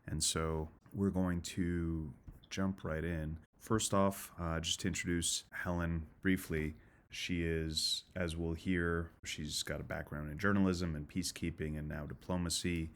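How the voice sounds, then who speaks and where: A man with a remarkably deep voice hosts a conversation show. He reads a prepared introduction and then talks with a guest in an earnest way, pausing and restarting now and then. His delivery stays fairly flat. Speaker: podcast host. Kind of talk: scripted intro then live chat hosting.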